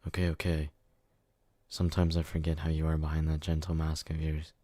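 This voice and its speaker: Man acting as a possessive yandere boyfriend, very deep flat murmur, dark tone, intense, clingy, ASMR roleplay. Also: fairly quick, dry recording. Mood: grudging. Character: obsessive, jealous, brutish male yandere lover, fiercely devoted.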